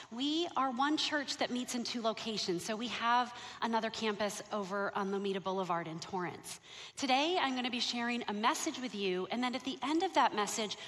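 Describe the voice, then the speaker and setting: A woman pastor delivers a sermon with a bright, forceful tone and a slightly higher voice. Her storytelling turns lively and animated as she talks. The sound carries a long church-hall reverb. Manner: warm storytelling, animated when excited. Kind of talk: sermon with personal stories. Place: church sanctuary.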